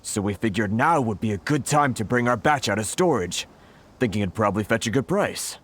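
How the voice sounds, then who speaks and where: An actor voicing a worried foreign merchant in a game, who sighs often and sounds apologetic. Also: male voice, fairly low pitch, animated, fairly quick, fairly bright tone. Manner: fretful, sighing and apologetic. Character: anxious foreign merchant, sighing and put-upon. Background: game music.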